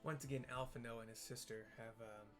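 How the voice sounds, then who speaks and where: A man casually describing video game scenes aloud, explaining step by step in a halting way. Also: mid-range voice, steady tone, soft background music. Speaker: gaming streamer narrating for visually impaired viewers.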